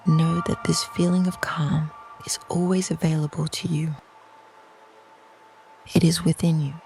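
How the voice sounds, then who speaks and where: A meditation narrator speaking slowly and softly in a soothing, near-whispered delivery, reading a scripted guided visualization. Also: medium pitch, flat delivery, faint music behind. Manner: slow, soft, soothing, breathy near-whisper phrasing.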